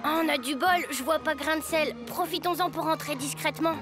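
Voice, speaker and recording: A woman voicing a cheeky young schoolboy in an animated series, with a youthful, boyish voice. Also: sits high, fairly quick, over music, close to an everyday voice. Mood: grumbling. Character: cheeky schoolboy hero, indignant at his predicament. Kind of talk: French animated series voice acting.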